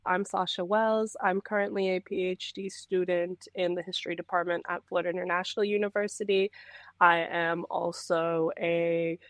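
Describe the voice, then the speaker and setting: A woman's voice: lowish voice, fairly even intonation, normal speed, no room sound. A young woman from the Bahamas, explaining things conversationally as a podcast interview guest. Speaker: guest, history PhD student and content creator.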